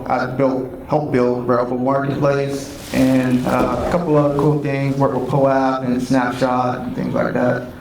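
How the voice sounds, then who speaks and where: Flat-voiced man in an echoey hall. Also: mid-range voice, vocal fry, a little hiss or hum. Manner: halting, many fillers. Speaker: software developer, panelist. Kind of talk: conference panel talk. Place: conference hall. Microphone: handheld mic, picked up from afar. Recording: roomy hall sound, faint ambience.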